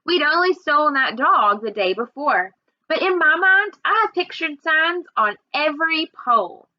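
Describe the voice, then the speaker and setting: A woman reading a children's novel aloud in an expressive storytelling way. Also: sits high, moderate pace, dry recording, lo-fi sound. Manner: expressive, acts out dialogue. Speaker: reader.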